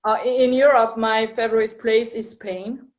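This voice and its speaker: French woman guest teacher, french accent, kinda hesitant, lots of uhs, slightly high voice. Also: fairly even intonation, normal speed. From France. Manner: hesitant, many uhs and repeated words.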